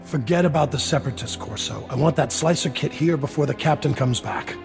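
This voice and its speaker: Game crime boss male actor: heavyset, gruff, gravelly, wry and worried, clear music underneath. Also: medium pitch, brisk, lightly acted. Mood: businesslike, wry. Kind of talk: video game character dialogue.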